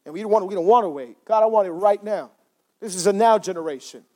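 A male pastor with a strikingly high voice delivers a sermon at a church service. He talks in an easy, conversational way and keeps prompting the congregation to answer him. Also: fast, warm tone. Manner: conversational, asks the congregation for responses.

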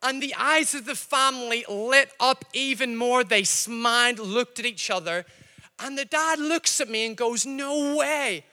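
A man's voice: very high-pitched, unhurried, in a large hall. A man from Northern Ireland with a slightly Scottish-tinged Northern Irish accent, preaching a sermon and telling stories straight to his congregation. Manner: lively storytelling, addresses the congregation directly. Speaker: pastor.

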